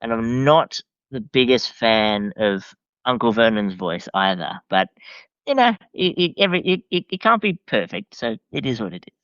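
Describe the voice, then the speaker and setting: A man's voice: mid-range voice, very animated, moderate pace, a bit bright, clear, resonant tone, dry recording. A man chatting casually as a podcast guest, informal and enthusiastic, on a slightly lo-fi recording. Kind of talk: casual chat.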